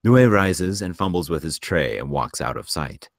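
A man reading screenplay stage directions as narrator, in a measured, descriptive way. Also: deep voice, big pitch swings, no room sound, clean studio sound.

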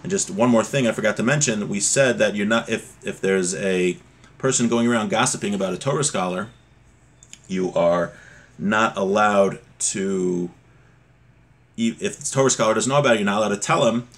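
Middle-aged man teaching, conversational and halting. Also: fairly low pitch. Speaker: religious teacher. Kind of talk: informal religious text lesson, explaining with examples. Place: small home room, indoors. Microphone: webcam or laptop mic at desk distance.

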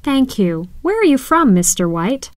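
Woman, mid pitch, slow deliberate pacing, dry close sound, for an English-learner listening track. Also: very animated, no room sound. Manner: slow, clear, careful enunciation. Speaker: voice actor in listening exercise. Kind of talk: scripted English listening dialogue.

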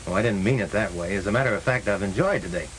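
An actor playing a polite, guarded young American chauffeur in a 1930s film, with a hissy soundtrack. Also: male voice, lowish voice, quick pace, close to an everyday voice, low-quality recording. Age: young adult.

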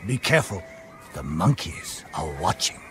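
An actor playing a haunted pirate survivor who tells grim tales in an ominous, theatrical, deliberate way for a video game. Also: male voice, deep voice, very animated, noisy background. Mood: grave, warning. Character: haunted old storyteller, surviving pirate crewman. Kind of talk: dramatic storytelling, video game cutscene acting. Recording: dramatic music behind the voice. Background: music.

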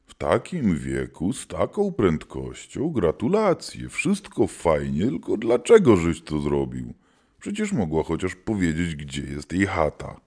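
A man playing a world-weary, gruff hunter of demons who keeps the old gods, in a theatrical scripted audio drama. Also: low voice, warm tone, exaggerated voice. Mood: wry, gruff.

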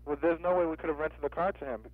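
Fast, insistent man on a tinny phone. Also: fairly high pitch, fairly even intonation, no room sound. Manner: insistent, questioning, repetitive. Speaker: car rental office agent. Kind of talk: customer service phone call. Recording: tinny, narrow-band phone line.

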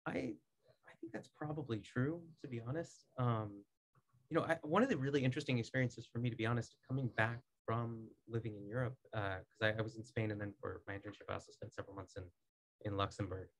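A man in his thirties from the United States, a podcast guest speaking in a measured, articulate way with frequent "um"s, recorded on a studio boom mic in a small foam-lined studio. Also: poor audio. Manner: measured, articulate, many "um"s and restarts. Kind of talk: casual interview talk.

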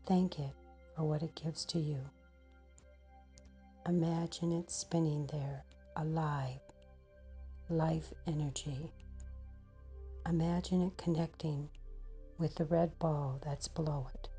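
A woman leading a slow guided meditation in a breathy, husky voice that often nears a whisper, pausing between short phrases. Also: low-pitched, fairly even intonation, mellow, soft background music.

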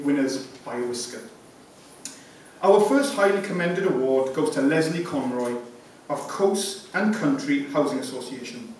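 A man in his thirties reading a formal, scripted award presentation speech as a sponsor. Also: fairly high pitch. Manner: formal, reading from prepared notes. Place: conference room, indoors. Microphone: lectern mic, picked up by distant camera. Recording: echoey room from far off, hissy background noise.

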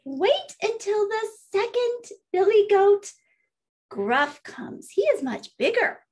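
Woman playing little billy goat, storybook, very high, squeaky, small voice, timid, pleading. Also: big pitch swings, takes it slowly, darkish tone, heavily acted.

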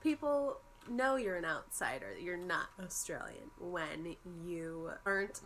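A woman's voice: fairly low pitch, animated, measured pace, background noise. Congested young woman vlogging. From United States. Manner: chatty, explanatory, friendly. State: congested from a cold. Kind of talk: casual vlog. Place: bedroom at home.

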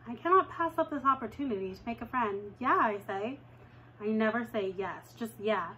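A young woman reading a children's novel aloud at home, with lively jumps in pitch when she reads dialogue. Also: normal speed, a little hiss or hum, rough recording. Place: living room at home, indoors.

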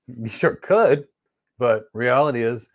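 A middle-aged man with a voice of middling pitch runs a live networking class. He is blunt and emphatic and answers each question matter-of-factly. He speaks from an indoor studio to a camera framed at chest height. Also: expressive, slow. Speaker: networking instructor. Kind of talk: live-stream Q&A teaching. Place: indoor studio with virtual backdrop.

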